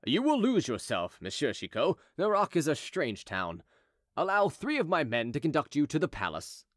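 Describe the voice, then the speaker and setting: A man's voice: on the high side, huge pitch swings, moderate pace, no room sound, clean studio sound. A man voicing a young patrol officer, grave, formal and polite, in an audiobook. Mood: firm, polite. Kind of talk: audiobook character dialogue.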